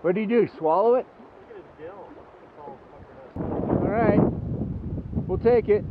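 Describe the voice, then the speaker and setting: A man's voice: sits high, takes it slowly. A man fishing on a river, chatting casually and whooping over catches, recorded on a body-worn action camera outdoors. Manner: excited whoops over fish. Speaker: angler filming on a river. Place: outdoors on a river, wind and water. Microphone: chest or head-mounted action camera. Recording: tinny action-camera audio with loud wind.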